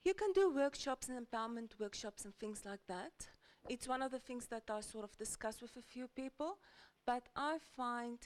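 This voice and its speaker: A woman's voice: on the high side, a little mellow. A woman from South Africa, a lawyer on a panel, talking hesitantly with many ums and restarted sentences. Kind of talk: panel discussion. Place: large conference hall.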